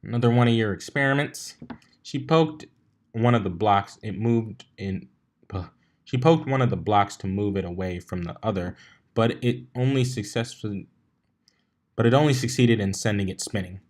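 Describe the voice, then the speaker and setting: A man's voice: fairly low pitch, moderate pace, darkish tone. A man narrating a fan fiction story aloud in an informal way, stumbling over and rereading lines now and then.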